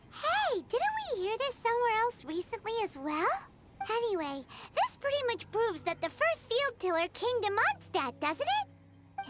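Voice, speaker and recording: A small, inquisitive fairy sidekick from a video game. The voice is squeaky, childlike and extremely high, swinging in lively melody. She chats perkily over clear background music. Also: exaggerated voice. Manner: squeaky, perky, chatty. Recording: music behind the voice.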